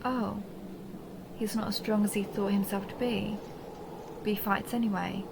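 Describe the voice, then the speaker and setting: A woman playing a seductive, possessive vampire countess in an intimate audio roleplay, speaking slowly and teasingly, often dropping toward a breathy whisper. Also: medium pitch, steady tone, background noise, close to an everyday voice. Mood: curious, amused. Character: seductive possessive vampire countess toying with her human captive. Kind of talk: intimate dark romance audio roleplay, ASMR-like.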